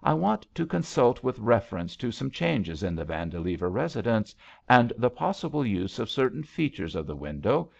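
Man playing a bluff, gravelly, genial banker. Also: medium pitch, very animated, a little mellow, dull audio. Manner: brisk, hearty, clipped phrases. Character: bluff, genial wealthy male banker, commanding.

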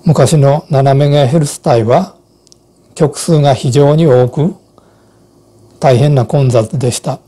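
A man in his sixties reading a scripted account and instructions in a measured, formal way. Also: mid-range voice, warm tone. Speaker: co-host of an amateur radio Morse code channel. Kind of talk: scripted personal narration and instruction.

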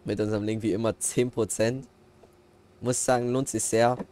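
A man's voice: lowish voice, normal speed. A man hosting a farming game let's play, chatting casually and slangily with friends. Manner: relaxed, slangy, signs off the episode. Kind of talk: casual gaming chat with friends.

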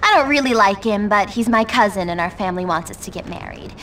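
A woman's voice: medium pitch, lively intonation, quick pace, a bit bright, recorded from a distance, a little hiss or hum. An actress playing a spoiled, haughty teenage schoolgirl in a video game, theatrically indignant. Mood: disdainful. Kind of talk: video game cutscene acting.

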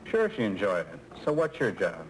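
Seasoned American male coworker, folksy and persuasive, very lively intonation, faintly noisy old training-film sound. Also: lowish voice, normal speed. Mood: earnest, coaxing. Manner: colloquial, persuasive, rhetorical questions. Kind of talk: scripted 1970s training-film acting.

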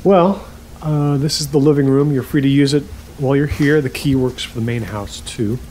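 A nervous, awkward man who runs a guesthouse, played by a male actor, speaks in a medium-pitched voice. He stammers and apologises in halting, natural audio-drama style, over noticeable background noise. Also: mellow, close to an everyday voice, poor audio. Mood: eager, awkward.

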